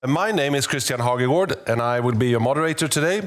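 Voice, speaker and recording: A man's voice: medium pitch, lively intonation, quick pace. A man opening an event as its moderator, welcoming and upbeat. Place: office event space. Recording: faint background music.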